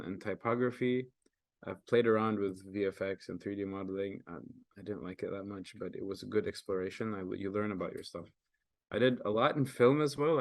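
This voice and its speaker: A man's voice: mid-range voice, no echo. A young man, a native Arabic speaker who grew up in Kenya, presenting his artwork in an earnest, casual way with many fillers and restarts over a video call. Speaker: guest artist presenting his work.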